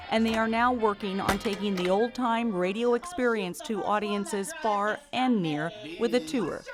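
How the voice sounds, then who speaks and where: A woman reporter reading scripted narration for a television feature. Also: medium pitch.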